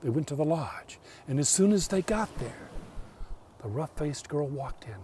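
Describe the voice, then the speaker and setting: A man telling a folk tale as a storyteller, theatrical, with deliberate pauses. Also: on the high side, lively intonation, dark tone, husky, distant mic.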